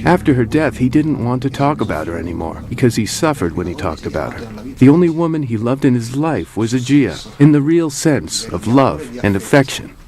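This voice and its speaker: A man's voice: mid-range voice, sing-song, brisk, a little mellow. Man remembering something sad, voice rises and falls, music under.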